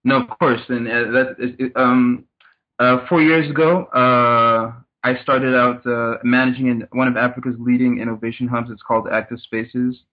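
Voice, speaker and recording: Man from cameroon, interview guest, flat even tone, measured, tinny phone line. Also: medium pitch. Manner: measured, repeats words while thinking. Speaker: guest, startup consultant. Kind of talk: casual interview.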